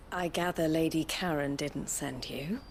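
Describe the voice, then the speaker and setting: Slow, wry caravan boss. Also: female voice, low-pitched, slight background noise. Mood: exasperated. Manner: wry, businesslike, condescending. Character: brisk, shrewd, haughty female caravan owner. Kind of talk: video game acting.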